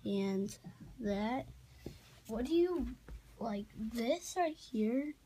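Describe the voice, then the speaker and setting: A child giving a casual, halting show-and-tell narration while filming on a handheld phone in a room at home. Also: medium pitch, expressive, warm tone. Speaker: amateur toy video maker. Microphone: handheld phone behind the camera. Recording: noisy handheld home recording with clicks.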